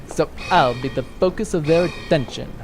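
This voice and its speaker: A man's voice: on the high side, moderate pace, close to an everyday voice. Man playing a sardonic space villain. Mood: scheming. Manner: dry, long-winded, sardonic. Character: scheming, dry-witted male space villain. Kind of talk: comedic audio drama. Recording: music playing underneath.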